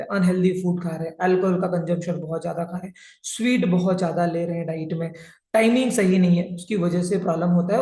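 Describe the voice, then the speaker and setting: A man in his thirties, a fitness and health coach, patiently explaining body composition in a plain teaching style. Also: sits high. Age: 30s. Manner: plain, patient explaining with many English terms. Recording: roomy echo.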